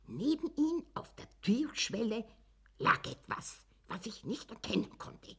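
A woman's voice: on the high side, expressive, unhurried, gravelly, slightly rough, full character voice. An actress playing a nosy old village woman in a German radio drama, rambling with suspense and self-importance. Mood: mysterious, rambling. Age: older.

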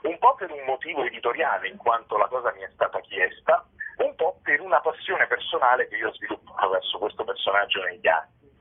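A man's voice: mid-range voice, sing-song, fairly quick, slightly rough. A man giving articulate, wordy interview answers as a playwright, with literary phrasing, heard through a muffled, band-limited connection. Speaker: playwright and director being interviewed. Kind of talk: articulate spoken interview answers about theatre. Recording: muffled band-limited radio phone-style sound.

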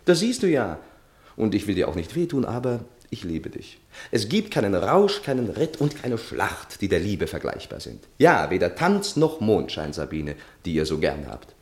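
A deep-voiced, lovestruck young suitor. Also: male voice, wildly swooping pitch, normal speed. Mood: ardent, pleading. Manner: theatrical, ardent declarations with hesitant stammers. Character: infatuated, tipsy young male journalist and suitor. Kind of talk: 1960s German radio play acting.

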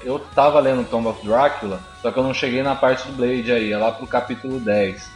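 A man's voice: mid-range voice, fairly even intonation, fairly quick. A man with a Brazilian accent chatting casually as a podcast co-host, opinionated and slangy. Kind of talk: casual chat. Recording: roomy echo. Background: faint music.

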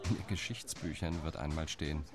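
A man's voice: extremely low pitch, fairly even intonation, a little fast, music in the background. A man playing a determined partition lobbyist. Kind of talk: radio play monologue.

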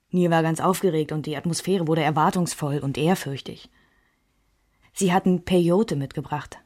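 Actress as reflective woman narrator, lowish voice. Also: darkish tone, lightly acted. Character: reflective woman remembering her marriage and lovers. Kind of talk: scripted literary radio drama narration.

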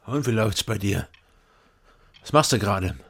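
An actor playing a terse, guarded, world-weary East German investigator in a radio crime drama, with a German accent. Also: male voice, lowish voice, expressive, moderate pace, gravelly, fairly bright tone, slight background noise.

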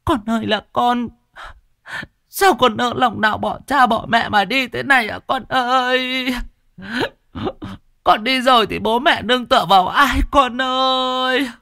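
A man's voice: very high-pitched, a little slow, creaky, bright, forceful tone, voice pushed up, exaggerated voice. A man voicing a grieving mother who wails a lament for her dead son. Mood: wailing. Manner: wailing lament.

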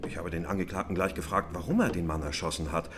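An actor playing a plain-spoken, agitated eyewitness, vividly retelling events as courtroom testimony in a radio drama. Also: male voice, low voice, quick pace, background noise, natural voice. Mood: matter-of-fact, vivid. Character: male eyewitness, plain-spoken, agitated, recounting a street arrest.

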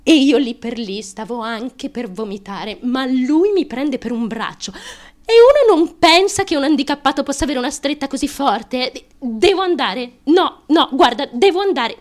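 An actress playing a troubled young woman in an intimate radio theatre monologue, confessional and crude, halting and nervous, with abrupt emotional swings. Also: high-pitched. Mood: agitated.

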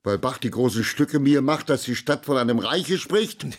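An actor playing a weary, stubborn and proud baroque trumpeter in a German radio drama, grumbling in carefully worded, resigned phrases. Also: male voice, mid-range voice, animated, close to an everyday voice. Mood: aggrieved. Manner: grumbling, deliberate wording, resigned.

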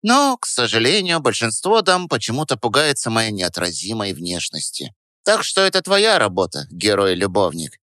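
A man voicing a snide, squeaky know-it-all rat who teases mock-grandly in an audiobook. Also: wildly swooping pitch, moderate pace, sharp tone, no echo, full character voice. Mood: snide teasing. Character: sarcastic know-it-all male rat, teasing philosopher sidekick. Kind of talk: audiobook character voice.

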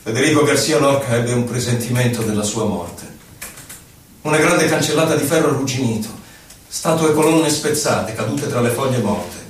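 A man's voice: medium pitch, a little hiss or hum. Echoey, solemn man declaiming poetry. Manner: solemn, declamatory, with drawn-out phrases. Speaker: actor reciting poetry. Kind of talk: theatrical poetry recitation with music. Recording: very echoey, distant stage recording with music. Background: prominent violin music.

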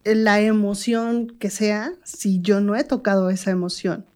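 A woman with a medium-pitched voice acts as interviewer. She speaks conversationally and attentively, in an agreeing way. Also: fairly even intonation, unhurried, a little mellow. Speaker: interviewer, radio host.

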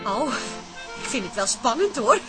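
A high-voiced wife, homely and naive, in a radio comedy. She swoops up and down with little exclamations, easily impressed and quick to fret. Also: sing-song, fairly bright tone, faint music behind, rough recording. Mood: impressed, curious. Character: Toos, chatty, fretful, easily impressed working-class wife. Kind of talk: Dutch radio comedy drama acting.

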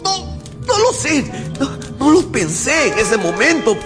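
An actor playing a haunted, feverish scientist in a radio drama, confessing in an overwrought, halting, theatrical way. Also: male voice, very high-pitched, sing-song, exaggerated voice. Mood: horrified, anguished. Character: haunted, feverish male scientist confessing his monstrous creation. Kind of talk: Spanish-language radio drama acting. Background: dramatic music.

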